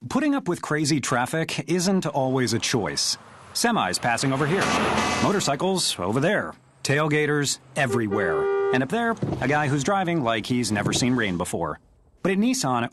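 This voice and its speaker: A man's voice: mid-range voice, noisy background. A man narrating a scripted car commercial. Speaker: commercial announcer. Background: music bed.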